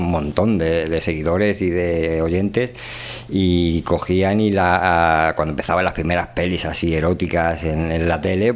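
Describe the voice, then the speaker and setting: A man with a Castilian Spanish accent hosting a radio show in casual, slangy, rambling chat. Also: low voice. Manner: slangy, rambling, unfiltered delivery.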